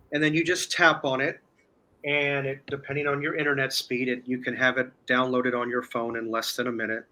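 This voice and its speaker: A man speaking as a guest in a casual chat, explaining step by step in a halting way. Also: mid-range voice, bright, forceful tone. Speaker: guest, online video creator.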